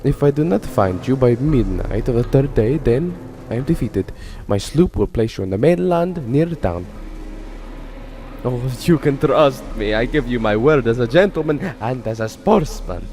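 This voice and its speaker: A man's voice: medium pitch, lively intonation, normal speed, mellow, lightly acted. A man playing a genteel, menacing aristocratic general in a radio drama, suave and theatrical as he savors his words. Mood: gloating. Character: genteel, menacing male aristocrat general who hunts men.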